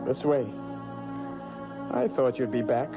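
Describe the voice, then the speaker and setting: A sinister old crone of a fortune teller, soft-spoken and coaxing, her creepiness sitting in every word, as an actor performs her. She speaks at an unhurried, drawn-out pace. The sound is a muffled old radio broadcast with music underneath. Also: mid-range voice, steady tone, natural voice. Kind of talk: 1970s radio drama acting.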